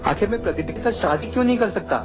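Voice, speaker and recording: A man plays a blind young man in a radio play, pleading and hurt. His voice has a middle pitch, with music clearly heard beneath it. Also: expressive, fast talker, muffled recording. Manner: pleading, then bitter and accusing.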